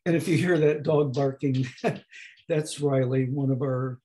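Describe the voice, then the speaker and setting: A man in his eighties lecturing slowly over a video call, with a hoarse, gravelly voice that is not fully distinct and that often pauses and restarts. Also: medium pitch, steady tone, dark tone. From United States. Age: 80s. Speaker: professor emeritus giving a talk. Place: home room at a desk. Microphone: webcam on a video call.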